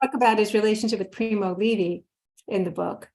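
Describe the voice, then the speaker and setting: A woman's voice: medium pitch, steady tone, fairly quick, darkish tone. A woman editor co-hosting an interview on a video call, chiming in with remarks and follow-up questions. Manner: chatty, adds remarks and follow-ups. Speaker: magazine editor, co-host. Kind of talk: conversational interview. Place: home room.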